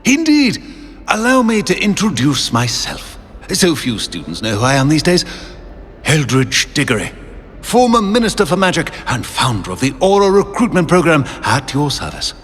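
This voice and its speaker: A man's voice: on the high side, huge pitch swings, normal speed, fairly bright tone, slight background noise. An actor playing a pompous, courtly, nostalgic old British statesman living on as a portrait in a video game. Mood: grand, courteous. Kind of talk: video game character acting. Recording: long reverberant hall, music underneath.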